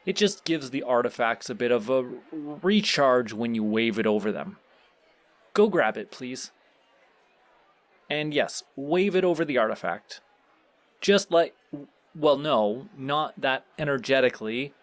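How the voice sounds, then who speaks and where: A man's voice: mid-range voice, animated, unhurried, lightly acted. A man playing a pleasant supervillain boss in an audio roleplay, speaking politely and formally as he instructs the listener. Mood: gently instructing. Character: courteous, surprisingly pleasant male supervillain boss.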